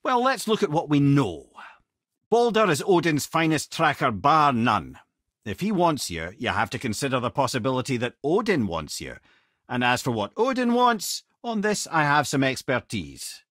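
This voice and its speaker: A clever, wry old Scottish storyteller for a video game. His voice has a husky grain and swings widely in pitch as he spins long, wordy tales and dry advice. The sound is dry and close, with no room around it. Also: huge pitch swings, normal speed, a bit bright, no echo. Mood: wry advising. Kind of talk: video game character dialogue.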